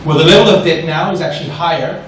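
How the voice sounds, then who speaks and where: A man with a French accent gives an animated investment seminar to an audience, often checking in with "right?". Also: a little high, fast talker, a little hiss or hum. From France. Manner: animated, asks the audience questions, frequent "right?". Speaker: investment specialist presenter. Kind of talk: seminar presentation. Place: large echoey room with an audience. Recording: echoey room from far off.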